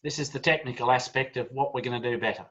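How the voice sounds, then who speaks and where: A man's voice: medium pitch, fairly even intonation, fast talker, a bit bright. A middle-aged man with an Australian accent, giving a loose, informal business talk on a video call, full of fillers and friendly asides. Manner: chatty, many "uh" fillers, friendly asides to the audience. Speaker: business speaker. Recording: dull lo-fi video call audio.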